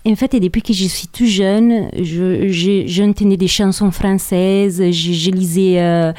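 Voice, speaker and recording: A woman's voice: medium pitch, fairly even intonation, a little slow, dark tone, no echo, clean studio sound. A young woman from southern Italy, speaking French with an Italian accent, answers interview questions with frequent hesitations and repeated words. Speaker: guest. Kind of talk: radio interview.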